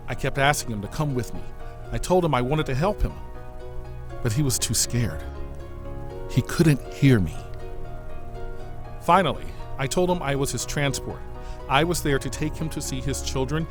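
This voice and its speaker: Loyal watchful bodyguard played by a man, earnest, formal, measured, lively pitch swings, sci-fi audio drama, faint music underneath. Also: close to an everyday voice. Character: loyal, modest, watchful bodyguard and aide to an ambassador. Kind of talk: dramatic dialogue in a science fiction audio drama.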